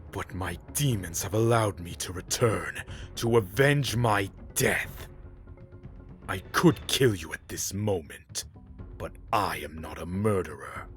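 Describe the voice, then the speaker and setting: Man acting a slow, low vengeful undead ninja. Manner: menacing, slow, solemn, slipping into whispers. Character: vengeful undead ninja specter with demonic backing. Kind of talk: amateur comic dub voice acting.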